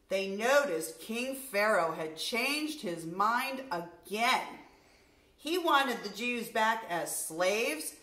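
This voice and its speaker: A middle-aged woman, a nursery school teacher, reading a story aloud to young children in an expressive, deliberate way. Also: medium pitch. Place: furnished room at home, indoors. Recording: roomy indoor echo.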